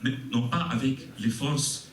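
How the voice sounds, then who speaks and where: A man with a mid-range voice poses lengthy, courteous questions, pausing often on hesitant fillers. The room is echoey and he sounds far from the mic, with faint noise underneath. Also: mid-range voice. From Tunisia. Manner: formal, deferential, long-winded, hesitant fillers. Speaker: audience member asking questions. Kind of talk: formal question from the floor. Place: meeting room with audience.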